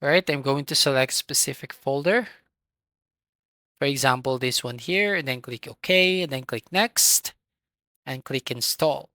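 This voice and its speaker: A tutorial host giving step-by-step software instructions in an instructional manner, with a voice recorded close to the microphone. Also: mid-range voice, unhurried, dry recording.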